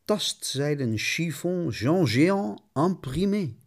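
A man's voice: on the high side, expressive, slow pace. A man narrating a scripted radio essay in a melodramatic, mock-dramatic, declamatory manner.

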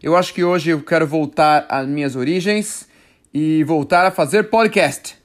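A man's voice: fairly high pitch, moderate pace. Chatty Brazilian man with a raised voice. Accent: Brazilian Portuguese. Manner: chatty, rambling, self-correcting. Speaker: podcast host. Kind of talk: casual solo podcast talk.

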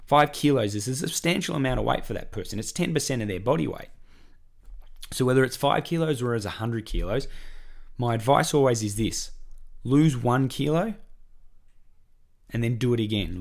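A hoarse Australian man with a croaky voice from a cough, hosting a solo podcast as a weight-loss coach and talking in an encouraging, repetitive, chatty way. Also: medium pitch, lively intonation, normal speed.

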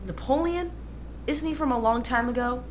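A woman's voice: high voice, moderate pace, a little hiss or hum, lightly acted. A woman playing a wisecracking, skeptical American schoolchild in a radio play. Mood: cheeky, skeptical.

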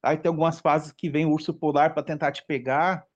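A man's voice: on the high side, little pitch movement, fairly quick, highs cut off. A man in his late thirties from Brazil, chatting casually on a podcast and rambling through a nostalgic list of old games. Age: 30s. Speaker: podcast participant. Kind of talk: casual chat.

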